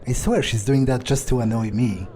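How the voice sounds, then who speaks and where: A man's voice: medium pitch, normal speed, mellow, slight background noise. A man improvising in-character commentary for a game playthrough, with a hesitant, musing and sardonic way of talking. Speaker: gamer role-playing his character.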